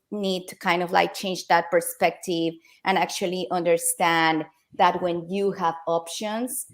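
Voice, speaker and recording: Panel guest: woman, Mexican-accented, native Spanish speaker, creaky voice, mid pitch, personal reflection.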